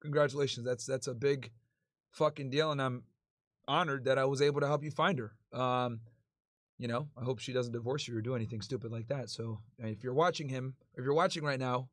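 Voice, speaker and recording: A man's voice: mid-range voice, fairly even intonation, a little fast, dry recording, clean studio sound. A man in his thirties hosting a livestream, laid-back and tired, reading viewer messages with crude slang and jokes. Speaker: livestream host. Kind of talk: casual livestream chat reading super chats.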